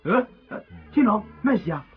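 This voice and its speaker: Fussy, flustered Hong Kong host, very high-pitched, muffled Cantonese radio drama. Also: male voice, sing-song, moderate pace. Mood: flustered, hearty. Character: flustered, apologetic, fussy male party host. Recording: dull 1990s radio recording, faint music.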